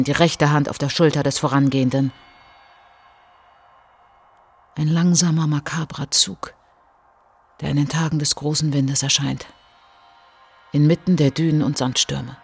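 A woman performing a solemn narrator in a literary radio drama, speaking in measured, dramatic short phrases. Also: low voice, slightly rough, full character voice. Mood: mournful. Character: solemn woman narrator recounting colonial atrocities as a traveller. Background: prominent music behind the voice.

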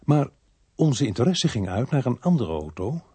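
An actor playing a sober, sardonic police inspector who narrates a murder case in a radio play. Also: male voice, mid-range voice, sing-song, moderate pace, warm tone, breathy edge, no echo. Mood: sober, recounting.

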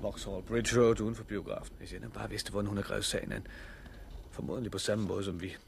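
Low-voiced, sober man leading survivors. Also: fairly even intonation, creaky. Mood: sober, concerned. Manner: serious, terse, practical. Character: sober, guilt-ridden sighted man leading blind survivors. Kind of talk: 1960s Danish radio drama acting. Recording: old radio recording with faint hiss.

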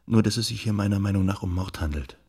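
An actor playing a persistent investigator in a radio drama, calm and insistent, with a husky voice. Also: male voice, on the low side, fast talker. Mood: calm, persuasive. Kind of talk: German radio drama acting.

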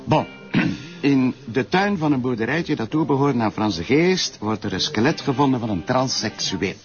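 An actor playing a sensible, gently reproachful police sidekick in a TV crime drama, measured, with a Flemish accent. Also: male voice, medium pitch, expressive, natural voice. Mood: businesslike. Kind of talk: naturalistic TV crime drama acting. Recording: dull lo-fi TV audio, music behind.

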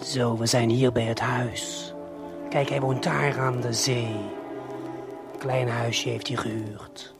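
A man playing a conspiratorial eavesdropping guide in a radio play, unhurried and confiding, talking straight to the listener. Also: medium pitch, level tone, creaky, over loud music. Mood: confiding, observant. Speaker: narrator.

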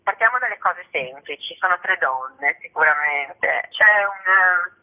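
A woman's voice: fairly low pitch, animated, a little slow. A woman guest in a radio interview comes through a thin, narrow telephone line. She talks in a spontaneous, discursive way, often starting a thought again in new words. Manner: discursive, often rephrasing mid-sentence. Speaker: guest theatre actress and author.

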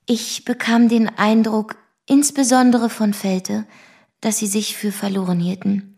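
An actress playing a composed, formal woman who gives a measured, matter-of-fact witness account in a radio play. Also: mid-range voice, level tone, vocal fry, darkish tone, breathy edge. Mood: composed, sober. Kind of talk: radio play narration, scripted. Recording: music behind the voice.

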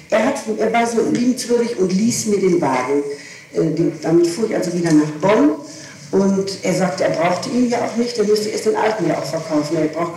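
A woman's voice: medium pitch, dark tone. A woman answering questions as a suspect in a police interrogation, giving her account with insistent precision about dates and times. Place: reverberant room, far from the microphone. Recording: echoey, distant, noisy archival tape recording.

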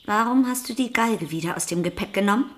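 A woman's voice: mid-range voice, fast talker, roomy sound, close to an everyday voice. An actress playing a stern, sceptical, teasing woman in a radio drama, with a dry, pointed, questioning manner. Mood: curious, incredulous.

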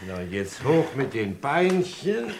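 A man's voice: mid-range voice, big pitch swings, vocal fry, noisy background, full character voice. An actor playing a jeering henchman in a German radio crime play, cooing mock-soothingly with playful menace. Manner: teasing, cooing, drawn-out words.

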